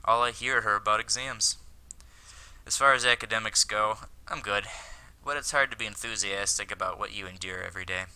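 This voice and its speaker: A man voicing an earnest, lovestruck, self-conscious high school boy from an anime visual novel, in a wordy, dramatic read-aloud delivery. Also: fairly quick, sharp tone, smooth, rough recording. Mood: wistful, dramatic.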